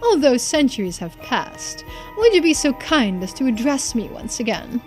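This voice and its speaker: A woman's voice: high voice, big pitch swings, normal speed, faint music behind. A woman voicing a smug, theatrical man who is centuries old, drawing out his teasing words in a video game. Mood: grandiose, coaxing.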